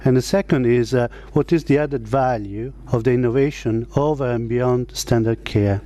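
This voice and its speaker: Mellow-voiced man asking a structured question. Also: medium pitch, moderate pace. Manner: methodical, lays out points A, B, C. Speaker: audience member asking a question.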